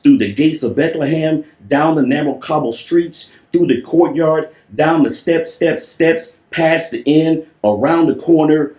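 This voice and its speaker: A man tells a children's story at an unhurried pace in an echoing room. His delivery is playful and theatrical, with drawn-out words and repeated phrases. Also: on the high side, warm tone. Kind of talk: animated storybook read-aloud with playful asides. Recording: roomy, echoing voice recording.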